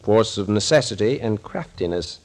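An actor playing a gruff, shrewd captain who takes charge, dry and commanding, in an old radio thriller. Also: male voice, on the low side, lively intonation, normal speed, natural voice. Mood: calm, probing.

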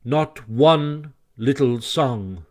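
A man's voice: fairly high pitch, very animated, measured pace, darkish tone, voice pushed up. A man voicing a male water rat who speaks firmly but kindly, earnest and a little apologetic.

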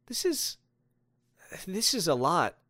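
A man's voice: sits high, big pitch swings, takes it slowly, pushed and bright, no echo, studio quality, pitched above the performer's own voice, full character voice. A man voicing a fussy, anxious office worker in a story, whiny, indignant and flustered.

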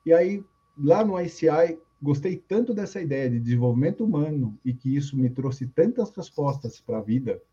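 Mellow husky man in his fifties. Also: a little high, lively intonation, moderate pace, lo-fi sound. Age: 50s. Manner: easygoing storytelling with fillers. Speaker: guest coach. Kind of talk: casual interview on a live stream.

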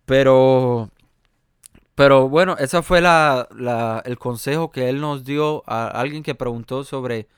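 Male jazz pianist, Cuban accent, resonant voice, halting conversational delivery. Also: mid-range voice, smooth, no room sound, studio quality. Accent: Cuban Spanish. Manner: hesitant, many fillers and restarts. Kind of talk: conversational interview.

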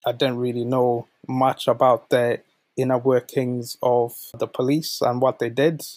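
A man's voice: medium pitch, little pitch movement, a little slow, darkish tone, no room sound. A man in his late twenties from Zambia who moved to the UK as a boy, answering interview questions conversationally. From born in Zambia, moved to the UK at twelve. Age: late 20s. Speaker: podcast guest, volunteer manager.

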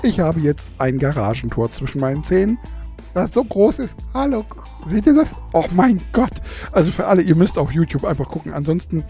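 A young man chats loosely into his podcast mic and lisps noticeably through a gap in his teeth. His voice sits a little high but has a dark, mellow tone. He laughs often and jokes about himself, with faint music underneath. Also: big pitch swings, brisk, gravelly. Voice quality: lisp from a tooth gap. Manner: chatty, laughs often, self-mocking. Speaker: podcast host. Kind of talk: casual solo podcast chat. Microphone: studio microphone.

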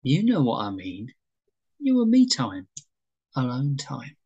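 Sheepish male suitor for a drama read-through, acted by a man, hesitant and pleading, very animated intonation. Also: medium pitch, slow, husky, highs cut off, full character voice. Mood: wheedling. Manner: hesitant, wheedling, easily flustered. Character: hopeful, sheepish suitor pleading with his lover. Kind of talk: amateur script read-through, acted dialogue.

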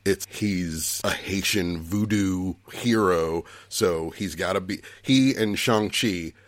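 A man's voice: low-pitched, slow pace, no room sound. A man in his forties co-hosting a podcast, chatting casually, laughing often and restarting his sentences. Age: 40s. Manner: laughs often, thinks aloud with many restarts. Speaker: podcast co-host. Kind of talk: casual chat.

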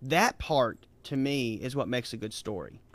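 A man with a mid-pitched voice co-hosts a podcast, and his voice is recorded close and dry with no room sound. He talks with enthusiasm and laughs easily in casual conversation. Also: animated, unhurried, fairly bright tone, dry recording.

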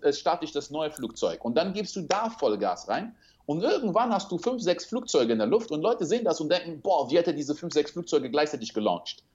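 A man's voice: high voice, a little mellow, lo-fi sound. A man whose first language is French, talking as a speaker and coach in a casual interview, earnest and motivational, building long metaphors.

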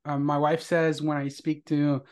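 A man from India with an Indian English accent, hosting a casual interview podcast in a relaxed, friendly way. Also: a little high, unhurried, smooth. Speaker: podcast host.

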